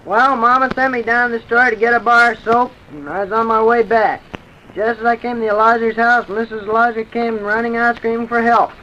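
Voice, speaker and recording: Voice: medium pitch, fairly even intonation, fairly quick, pushed and bright, close to an everyday voice. A performer playing an earnest, respectful twelve-year-old boy in an old-time radio drama, speaking plainly. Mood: polite, earnest. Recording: dull, noisy vintage radio recording.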